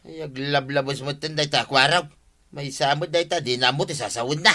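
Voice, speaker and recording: A man's voice: on the high side, steady tone, normal speed, pushed and bright. A man acting a scheming, cheerful village vendor with exclamatory, wheedling delivery and an Ilocano accent in a comedy radio drama. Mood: coaxing. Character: scheming, cheerful village man hawking dubious snails and greens.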